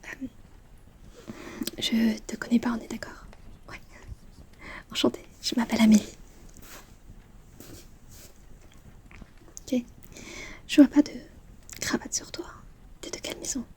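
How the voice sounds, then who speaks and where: A woman's voice: on the high side, steady tone, normal speed, long pauses. A woman playing a friendly, chatty, slightly distracted teenage schoolgirl in a whispered ASMR roleplay, speaking in casual, hesitant student slang. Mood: curious, friendly. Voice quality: soft, breathy whisper.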